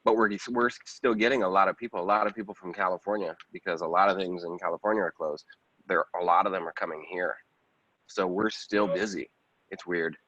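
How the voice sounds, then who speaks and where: A man chatting casually as a podcast guest, halting and repeating words, on slightly dull audio. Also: low voice, fairly even intonation, a bit bright. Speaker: podcast guest, actor and writer. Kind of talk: casual chat.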